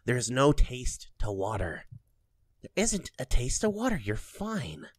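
A man playing a doting, teasing young boyfriend in an intimate ASMR roleplay, speaking softly and affectionately. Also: medium pitch, wildly swooping pitch, slow, no room sound, studio quality, heavily acted. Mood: teasing, fond. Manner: soft, affectionate, playfully teasing, with chuckles and kisses.

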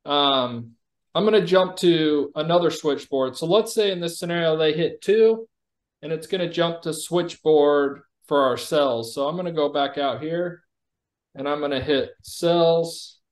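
Higher-pitched man demoing software. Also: a little slow. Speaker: company executive presenting software. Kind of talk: product demo, conversational explanation. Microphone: video call.

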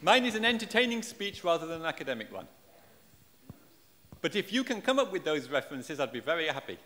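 A man's voice: a little high, big pitch swings, fairly quick, bright, forceful tone, slight background noise. A man in his forties with an English accent, answering audience questions on stage in a self-deprecating, jokey way. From England. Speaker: conference speaker. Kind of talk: audience Q&A after a talk. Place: large auditorium stage. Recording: echoey lecture hall.

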